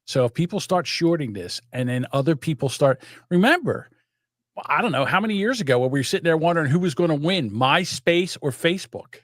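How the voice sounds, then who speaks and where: A man's voice: a little high, a little fast, dry recording, clean studio sound. Profane rambling New man. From South Jersey. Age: older. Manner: rambling, profane, animated storytelling. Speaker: podcast co-host. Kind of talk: casual chat.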